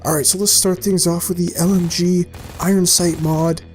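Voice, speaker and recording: A man's voice: high voice, flat delivery, moderate pace, darkish tone. A man hosting a casual gaming video, talking informally and in a rambling way as he presents and comments. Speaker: video host presenting game mods. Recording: music playing behind the voice. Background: music.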